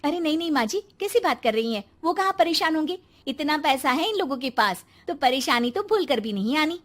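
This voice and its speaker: A woman's voice: high voice, expressive, a little fast. A woman voicing a snobbish young daughter-in-law in a cartoon, haughty and whiny, dropping in English words. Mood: boastful. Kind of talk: exaggerated cartoon voice acting.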